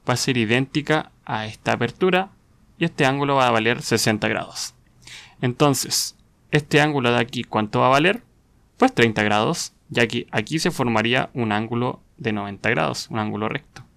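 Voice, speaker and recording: A man's voice: medium pitch, creaky, fairly bright tone. A man giving an informal step-by-step tutorial, often asking questions and answering them himself, with short check-in tags. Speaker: physics tutor presenting a lesson.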